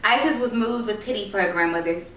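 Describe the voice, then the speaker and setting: Bright-voiced woman reading a story aloud. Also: mid-range voice, fast, recorded from a distance. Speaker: story reader. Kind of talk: storybook narration, read aloud. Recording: roomy echo, noisy, off-mic home recording.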